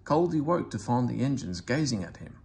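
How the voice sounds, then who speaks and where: A man reads a children's train story aloud with a gravelly texture. His delivery is expressive and moves widely in pitch. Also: fairly quick. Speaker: storybook reader.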